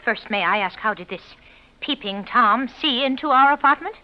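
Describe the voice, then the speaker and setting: An actress playing a haughty, icily polite, sharp-tongued lady with prim, formal delivery in an old-time radio drama. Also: fairly high pitch, expressive, moderate pace, natural voice. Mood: frosty. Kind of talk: golden-age radio drama acting. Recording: muffled, slightly noisy old radio recording.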